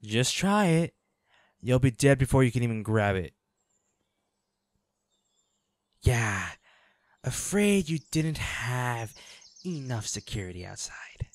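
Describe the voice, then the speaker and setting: A man playing a devoted boyfriend and weary, protective monster hunter in an audio roleplay, speaking earnestly in short, halting phrases. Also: medium pitch, dry recording. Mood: cold menace.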